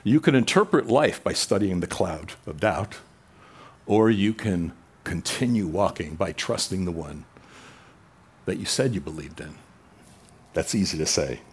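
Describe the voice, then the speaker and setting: A man preaching a sermon in a conversational way, rising in pitch and volume for emphasis. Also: expressive, a little slow, long pauses, breathy edge. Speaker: pastor.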